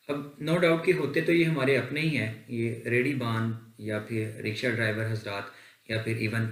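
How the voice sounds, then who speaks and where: The recording has a clear room echo around a man's fairly low voice. He speaks with a Pakistani accent. His delivery is formal and earnest, like a host explaining the law to viewers. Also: a bit bright. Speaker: host of a legal advice channel. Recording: echoey, reverberant room.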